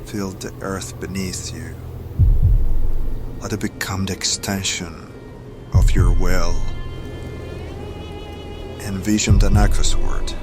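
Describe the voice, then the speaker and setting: Prominent music underscores a very low-voiced man of mystery as acted by a man. He is a solemn guide in a fantasy audio drama, darkly assured. Every phrase comes slowly, portentous and commanding. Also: steady tone. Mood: commanding, intense. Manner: measured, portentous, incantatory.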